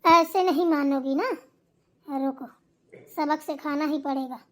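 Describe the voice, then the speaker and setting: Woman doing a very high-pitched cheeky young sister-in-law. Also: a little slow, a bit bright. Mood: defiant. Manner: mouthy, argumentative. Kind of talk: Hindi family drama cartoon dialogue.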